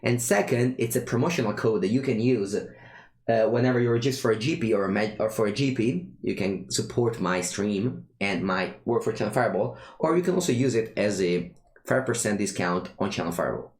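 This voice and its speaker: A man's voice: on the low side, a little fast, a little mellow, echoey room. A young man from Italy with an Italian accent, casually commenting on his own card game as he plays, thinking aloud and often restarting his sentences. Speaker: streamer playing a card game.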